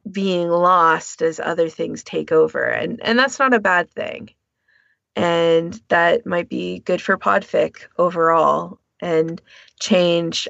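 A woman's voice: on the low side, creaky, fairly bright tone, no room sound, muffled recording. A woman co-hosting a podcast, explaining her thoughts at length in a casual panel discussion. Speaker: podcast co-host.

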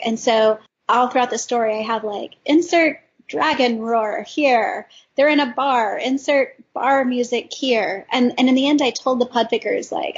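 A woman telling anecdotes in a casual panel chat, acting out her own thoughts as she goes. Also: fairly high pitch. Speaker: podcast panelist, fan fiction writer. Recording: dull lo-fi track, faint noise.

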